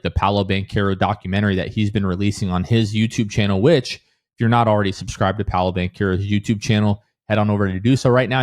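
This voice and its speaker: A man's voice: fairly low pitch, no room sound, clean studio sound. A man co-hosting a sports podcast in a casual, conversational style.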